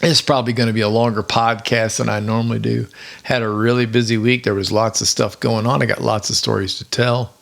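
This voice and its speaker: Hoarse, gravelly retired podcast host. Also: male voice, mid-range voice, flat delivery, fairly quick. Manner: chatty, rambling storyteller with easy chuckles. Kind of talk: casual solo podcast monologue.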